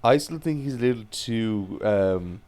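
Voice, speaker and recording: A man's voice: on the low side, expressive, unhurried, darkish tone. A man co-hosting a podcast, chatting in an easygoing way about films and actors, swearing offhandedly and trailing off mid-thought. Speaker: podcast co-host. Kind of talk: casual film chat.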